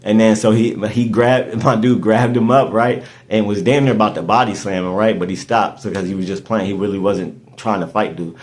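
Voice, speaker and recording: A man's voice: lowish voice, steady tone, a little fast, hardly any pauses. A man, hosting a podcast and telling a story in casual chat, rambling and restarting his words often. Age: 30s. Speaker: podcast host.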